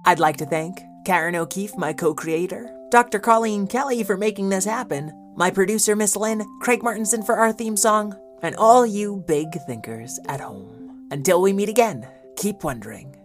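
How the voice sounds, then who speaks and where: A woman's voice: medium pitch, moderate pace, few pauses, faint music behind. A woman narrator and host delivering scripted narration for a children's audio story.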